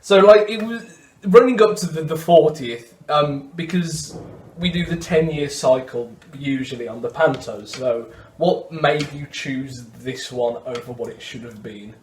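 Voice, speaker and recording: A man's voice: fairly high pitch, measured pace, a little mellow, roomy sound, a little hiss or hum. A man presenting and interviewing in a casual, informal way.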